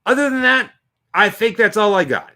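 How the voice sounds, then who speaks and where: A man's voice: high voice, lively intonation, moderate pace, bright, forceful tone. A man in his twenties co-hosting a casual live stream, joking and bantering. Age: 20s. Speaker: co-host.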